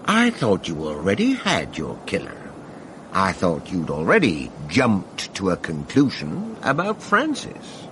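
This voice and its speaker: An actor playing a hard-nosed, middle-aged American Hollywood veteran in a radio drama, wry and guarded, with a laconic, folksy drawl. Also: male voice, low voice, huge pitch swings, measured pace, full character voice. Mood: defiant, wry. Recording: noisy archive radio broadcast with ambience.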